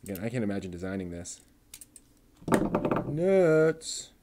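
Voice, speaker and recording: A man's voice: fairly low pitch, sing-song, measured pace, heavy background noise. A man thinking aloud and muttering to himself as he puts together a model kit, reading out part numbers in a casual running commentary. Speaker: show host.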